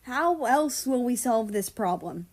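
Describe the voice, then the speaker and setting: A woman's voice: on the high side, lively intonation, measured pace, a little mellow, voice pushed up. Woman as an insistent, pleading guardian spirit, shift+. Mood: insistent, worried. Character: anxious, pleading guardian spirit, pestering gently.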